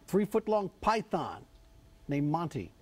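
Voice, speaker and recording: A man's voice: a little high, sing-song, unhurried, slightly rough. A man co-anchoring a morning show from a TV studio, trading light jokes. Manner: joking. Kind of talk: light on-air banter.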